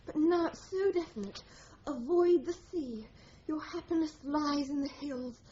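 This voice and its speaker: An actress playing a gullible, anxious, literal-minded typist in a radio drama, earnest and fretful. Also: female voice, high voice, a little slow, mellow, dull audio, a little hiss or hum. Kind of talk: 1980s radio drama acting.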